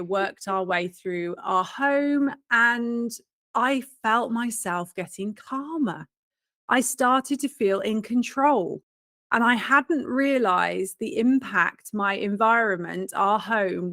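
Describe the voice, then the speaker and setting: A British woman in her forties talks as an interview guest, spinning her story in a warm-humored, self-deprecating way. Her voice sits at an ordinary middle pitch. The sound is dry and close, with no room echo. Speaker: guest, professional organizer. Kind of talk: conversational interview.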